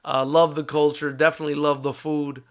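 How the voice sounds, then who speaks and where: A man's voice: a little high, flat delivery, brisk. An American man from South Florida hosting a fight talk show, chatting casually with viewers in a conversational, brotherly way. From South Florida, United States. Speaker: combat sports show host and fight promoter. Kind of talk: casual live fight commentary, answering viewer comments.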